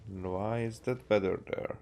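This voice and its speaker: A man from Hungary with a Hungarian accent, musing aloud haltingly as he gives casual gameplay commentary. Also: fairly low pitch, steady tone, a little mellow, a little hiss or hum. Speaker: game streamer.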